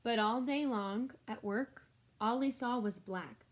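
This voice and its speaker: A woman's voice: on the high side, takes it slowly. A woman schoolteacher reading a picture book aloud to children, expressively, with chatty explanatory asides to her young listeners.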